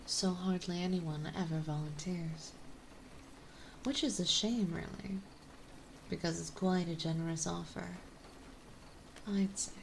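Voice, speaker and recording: Woman acting as vampire mistress, ASMR roleplay, mellow lowish voice, soft whispery delivery, coaxing, possessive, tender. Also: a little hiss or hum. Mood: persuasive, composed. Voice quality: soft, breathy, often dropping into whispers. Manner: soothing, coaxing, slow and deliberate. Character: gentle, possessive, dominant female vampire mistress.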